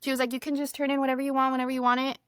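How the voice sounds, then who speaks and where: A woman from Mexico, whose first language is Spanish, chatting as a guest author and retelling conversations in a lively, storytelling way. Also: sits high, very fast, clean tone, no echo, studio quality. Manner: chatty storyteller, quotes people often. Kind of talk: casual podcast chat.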